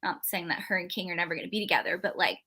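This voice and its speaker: A woman's voice: on the low side, rapid-fire, pushed and bright, slightly rough. A woman co-hosting a live book discussion, talking casually and rambling with many fillers and restarts. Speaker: book club co-host.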